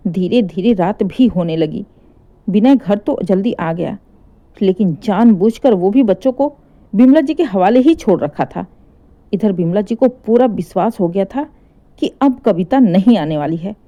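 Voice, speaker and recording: A woman's voice: mid-range voice, animated, measured pace, mellow, dull audio. A woman narrating a scripted emotional family story as a storyteller.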